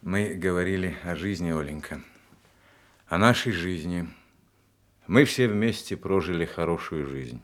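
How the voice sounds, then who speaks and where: A man's voice: deep voice, measured pace, long pauses. An actor playing a tender, weary war veteran father in a Soviet radio drama. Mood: warm, reminiscing.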